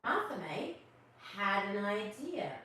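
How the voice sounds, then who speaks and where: A woman reading a children's story aloud as host, expressive, drawing out her words. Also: medium pitch. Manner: expressive, drawn-out words, questions to listeners. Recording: very echoey, distant mic, faint noise.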